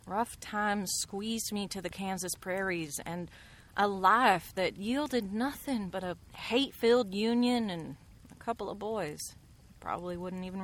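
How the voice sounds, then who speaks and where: A woman's voice: medium pitch, close to an everyday voice. A woman playing a resolute frontier woman in a western radio drama, speaking earnestly and directly. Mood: intense, probing. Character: frank resolute frontier woman, the fugitive's companion.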